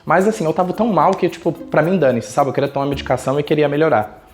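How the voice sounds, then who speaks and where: A man's voice: a little high, quick pace, soft background music. A man from Brazil with a Brazilian accent, telling a personal story casually and colloquially as a YouTuber. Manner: colloquial, animated retelling with acted quotes.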